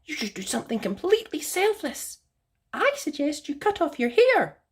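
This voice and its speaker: A woman voicing a blunt, bossy female bird in a children's storybook, with a thin, squeaky voice. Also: sing-song, normal speed. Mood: brisk, instructing. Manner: scolding, matter-of-fact.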